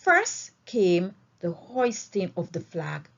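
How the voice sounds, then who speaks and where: A woman reading a novel aloud as an expressive storyteller, with deliberate, emphatic phrasing, on a dull, lo-fi recording. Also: lowish voice, creaky. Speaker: audiobook reader.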